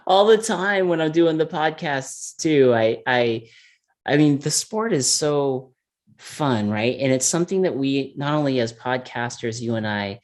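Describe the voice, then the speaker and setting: A man from California talking casually as an interview guest, animated and laughing often. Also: medium pitch, a little slow. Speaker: interview guest, mountain biker. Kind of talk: casual conversation.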